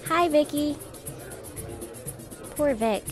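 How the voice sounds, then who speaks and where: A woman's voice: high voice, drawn-out words, faint music behind. A woman playing a friendly, sympathetic teenage schoolgirl in an audio drama. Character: friendly, sympathetic teenage girl, principal's daughter.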